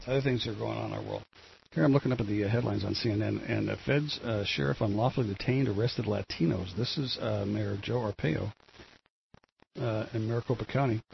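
Gravelly older man rambling through news. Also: fairly low pitch. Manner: meandering, many fillers and restarts. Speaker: solo show host. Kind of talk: rambling solo commentary on news. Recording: dull lo-fi with faint noise.

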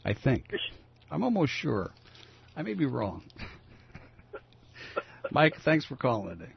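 An older man with a growly, gravelly voice hosting a casual talk radio show, rambling with many fillers and restarts. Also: mid-range voice, very animated, slow. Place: radio studio. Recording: dull lo-fi radio broadcast.

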